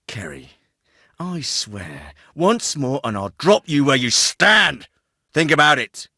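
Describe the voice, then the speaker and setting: Miller, a clipped commanding officer. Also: male voice, medium pitch, pushed and bright, dry recording. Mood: cold. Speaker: military officer. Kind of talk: video game voice acting. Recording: dry studio recording.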